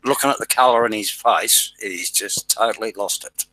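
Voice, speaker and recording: A man's voice: on the low side, moderate pace, pushed and bright, poor audio. A man, hosting a casual live chat in a blunt, mocking way, swearing freely. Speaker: channel host.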